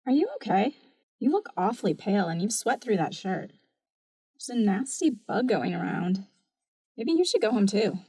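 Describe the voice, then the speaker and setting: An actress playing a weary, wry co-worker in an audio drama, casual and blunt. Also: female voice, mid-range voice, very animated, moderate pace, mellow, husky, no echo, low-quality recording. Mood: concerned. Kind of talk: naturalistic audio drama dialogue.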